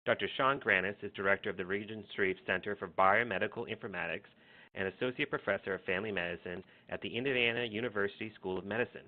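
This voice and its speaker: A man's voice: fairly low pitch, quick pace. A man moderating a webinar, reading a formal scripted introduction and announcements. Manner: reads announcements in a steady formal tone. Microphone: online webinar connection. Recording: phone-like webinar audio.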